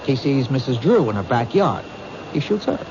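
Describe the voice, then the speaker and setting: An actor playing an earnest junior detective in a 1970s radio drama, questioning and reasoning aloud with emphasis. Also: male voice, mid-range voice, very animated, normal speed. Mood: insistent reasoning. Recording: muffled old radio broadcast, faint noise.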